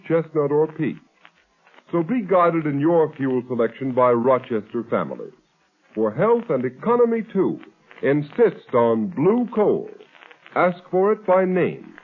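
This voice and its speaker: A male announcer with a husky, fairly high voice delivers an emphatic commercial. His pitch swings widely as he sells, and the old broadcast comes through tinny and thin. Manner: emphatic, sing-song salesmanship. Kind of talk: 1930s radio commercial.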